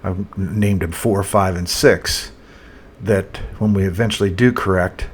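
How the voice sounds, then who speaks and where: Male market analyst, low-pitched, off-the-cuff and halting chart walkthrough, some background noise. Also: steady tone. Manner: halting, thinking aloud. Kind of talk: casual unscripted chart commentary.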